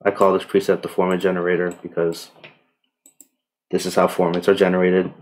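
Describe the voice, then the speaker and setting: Low, monotone man giving a tutorial. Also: brisk. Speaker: tutorial presenter. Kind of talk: casual software tutorial. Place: indoors, voice-over on screen recording.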